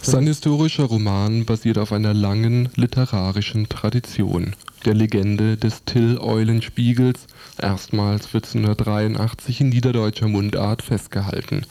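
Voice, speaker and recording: A man's voice: lowish voice, fairly even intonation, moderate pace, dark tone. A man reading a scripted book review for radio.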